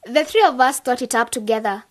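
A woman's voice: on the high side, a little fast, no room sound, studio quality, natural voice. An actress playing an eager, image-conscious young woman in a radio drama. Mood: earnest.

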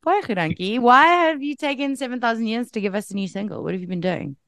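A woman's voice: a little high, expressive, fast, a bit bright, dry recording. Upbeat woman radio host. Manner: upbeat, playful, teasing. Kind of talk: radio interview.

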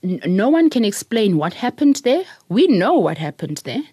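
A middle-aged South African woman, a public speaker, telling her story in an interview in an earnest, reflective way, with soft laughs. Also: mid-range voice, sing-song, normal speed, breathy edge, no echo, clean studio sound. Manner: earnest, repeats words, laughs softly while telling stories.

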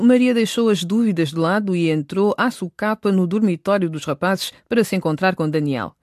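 A woman narrating a radio drama in scripted, even recap style. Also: fairly low pitch, dry recording, studio quality. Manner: even, clear recap delivery.

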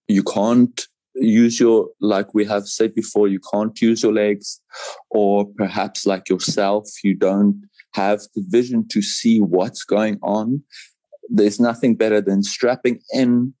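A man's voice: lowish voice, dark tone, no echo. A man, explaining things reassuringly as an interview guest and repeating phrases for emphasis. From South Africa. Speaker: paragliding pilot, interview guest. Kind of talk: conversational interview answers.

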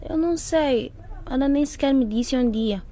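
Casting: actress as weary teenage girl, patient big sister, fairly high voice, radio drama. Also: moderate pace, mellow, lightly acted.

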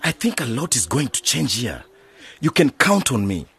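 An actor playing a wary, protective village uncle in a radio drama, with a husky voice and an emphatic manner. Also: male voice, medium pitch, very animated, normal speed, a bit bright, heavily acted. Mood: encouraging.

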